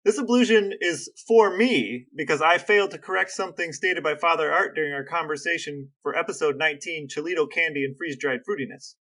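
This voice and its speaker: A man's voice: a little high, a little fast, hardly any pauses, a bit bright, poor audio. A man co-hosting a casual podcast with his brother, wry and mock-formal in tone. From American Midwest. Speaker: podcast co-host. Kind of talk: casual chat between brothers.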